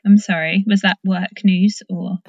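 Female podcast host from the English Midlands, mid pitch, conversational and searching. Slightly dull, dry recording. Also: fairly even intonation, measured pace, a little mellow, dry recording. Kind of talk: casual conversational interviewing.

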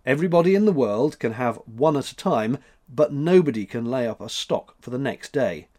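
A man's voice: medium pitch, expressive, normal speed, a little mellow, close to an everyday voice. Proud, musing old man, read by a man. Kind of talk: storybook character reading.

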